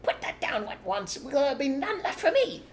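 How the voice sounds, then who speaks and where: A man voicing a shrill, scolding male rat who is drunk on cider. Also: sing-song, normal speed, background noise.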